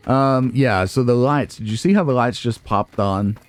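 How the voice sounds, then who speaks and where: A man's voice: medium pitch, moderate pace. A man giving casual let's play commentary, musing and thinking aloud as he goes. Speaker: let's player.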